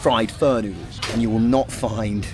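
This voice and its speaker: A man's voice: lowish voice, moderate pace, music in the background. A British man talking in a chatty, self-deprecating way as he records a travel vlog out on the street. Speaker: travel vlogger.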